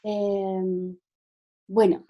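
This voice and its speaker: Slow, hesitant Italian woman speaking Spanish. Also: medium pitch, level tone, highs cut off. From Italy. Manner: hesitant, halting with frequent fillers and restarts. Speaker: guest speaker. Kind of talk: online talk. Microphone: video call.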